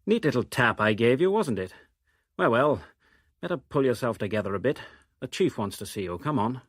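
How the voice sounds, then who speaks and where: A man's voice: medium pitch, big pitch swings, moderate pace, dry recording, clean studio sound. A man voicing a middle-aged English thug in an audiobook reading, with mock-chummy old-school slang and a bullying, jovially menacing manner. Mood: jovial menace.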